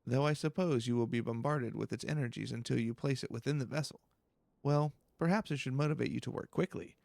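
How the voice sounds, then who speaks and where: A man's voice: medium pitch, a little fast, creaky, darkish tone, no echo, natural voice. A man voicing a pompous, fussy professor in a video game, verbose and condescending. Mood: instructing, condescending. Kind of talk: video game dialogue read aloud.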